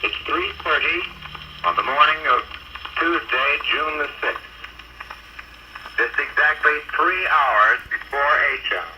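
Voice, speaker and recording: A man's voice: on the high side, lively intonation, takes it slowly, long pauses. An American man gives an eyewitness war report as a 1940s radio correspondent, on a noisy wartime field recording.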